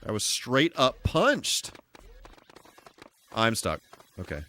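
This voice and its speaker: A man's voice: medium pitch, wildly swooping pitch, takes it slowly, pushed and bright. A man giving casual live commentary while playing a video game, full of mild folksy exclamations and mock-exasperated asides. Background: music.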